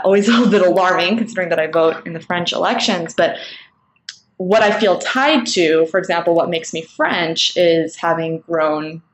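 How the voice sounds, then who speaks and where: A young woman with an American-sounding accent and a fairly low voice talks in a thoughtful, measured way, pausing on ums as she works through her points. The room is echoey, with a faint hiss of background noise behind her. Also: vocal fry. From Singapore. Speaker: nonprofit co-founder. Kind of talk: recorded talk for an online summit.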